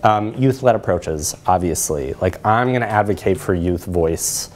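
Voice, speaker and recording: A man's voice: deep voice, animated, a little slow, darkish tone, a little hiss or hum. A man in his thirties presenting a lecture in a conversational way, checking in with his listeners as he explains. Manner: conversational, often adds "right?" to check in. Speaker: academic presenter. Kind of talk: conference lecture with slides. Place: lecture room, indoors.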